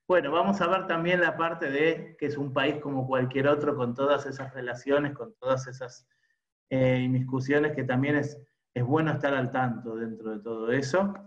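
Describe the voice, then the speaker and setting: A man from Argentina, introducing a guest speaker with a halting, filler-heavy delivery. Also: medium pitch, fairly even intonation. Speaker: event host. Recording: lo-fi video call audio.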